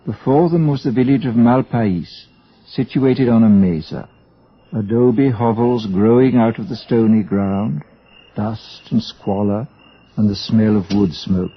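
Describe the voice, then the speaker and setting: A man's voice: fairly low pitch, a little slow, husky. A man giving scripted narration for a radio drama. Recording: dull, muffled old radio recording.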